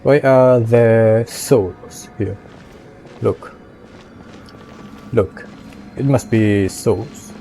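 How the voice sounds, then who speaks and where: A man streams a video game in a casual, unhurried way. His voice is soft-edged and mellow, and he talks haltingly with muttered asides. Game music plays faintly behind him. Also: lowish voice. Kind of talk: casual gameplay commentary, reading on-screen text.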